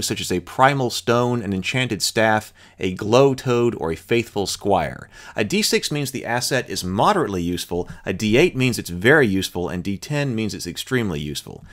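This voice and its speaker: A middle-aged man reading a tabletop game rulebook aloud and thinking out loud between passages. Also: on the low side. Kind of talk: reading rules aloud with commentary.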